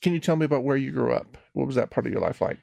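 A man's voice: medium pitch, expressive, very fast, creaky, dark tone, dry recording. A man speaking hesitantly and thoughtfully in a recorded personal conversation.

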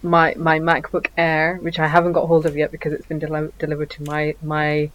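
A woman's voice: sits low, monotone, fairly quick, a little hiss or hum, poor audio. A woman co-hosting a podcast, chatting while congested with a bad cold, earnest and prone to stumbling restarts. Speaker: podcast co-host. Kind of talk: casual chat.

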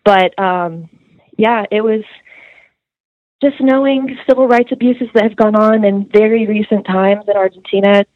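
A woman's voice: medium pitch, fairly even intonation, measured pace, dry recording. A young woman, a student, earnestly recounting a detailed story in an interview, with casual fillers. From United States. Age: young adult. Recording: dull, muffled dry recording.

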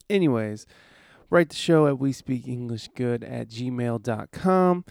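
A man with a cold, hoarse, talking in a rambling, easygoing way as he hosts a casual podcast. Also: medium pitch, expressive, slow, a little mellow, no echo, clean studio sound. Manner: rambling, easygoing, many fillers. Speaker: podcast host.

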